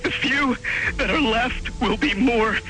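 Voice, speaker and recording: A man calling in to a late-night radio show stammers and hurries over a phone line, his voice pitched high and tight with fear. Music plays under the clip. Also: slow, bright, forceful tone. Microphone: telephone line.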